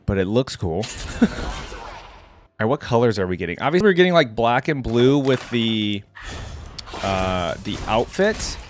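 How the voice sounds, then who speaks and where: A man's voice: medium pitch, expressive, unhurried, pauses often, a little hiss or hum. A young man giving casual, laid-back reaction commentary, thinking aloud as host.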